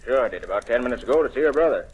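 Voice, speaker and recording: An actor playing a bumbling, defensive agent, hapless and full of excuses, in 1940s radio drama style. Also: male voice, mid-range voice, lively intonation, very fast, slightly rough, poor audio.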